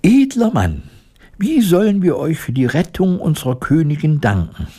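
A man voicing a little excitable, fawning courtier mouse in a piping, squeaky voice for a storybook. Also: normal speed, husky. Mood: grateful. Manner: breathless, fawning. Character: excitable little courtier mice, anxious and deferential.

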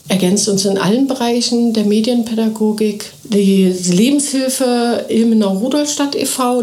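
An eager woman who heads a kindergarten talks freely in a radio interview, her voice in a middle pitch. She fills pauses with quick hesitation sounds. Her voice carries some echo from the room. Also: a little mellow. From Thuringia, Germany. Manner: eager, fluent, with frequent filler sounds. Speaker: kindergarten director. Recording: echoey room.

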